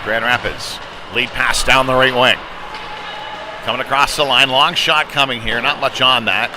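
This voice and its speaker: Male hockey commentator over clear rink noise. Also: mid-range voice, fairly even intonation, normal speed. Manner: clipped running descriptions in short fragments. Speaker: play-by-play commentator. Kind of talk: live youth hockey commentary. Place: live at an ice hockey venue.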